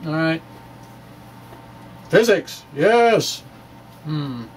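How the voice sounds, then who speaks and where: A man giving a dramatic, halting reading of his physics blog, with self-deprecating off-script asides. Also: a little high, animated, slight background noise. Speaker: physics blogger presenting his own talk.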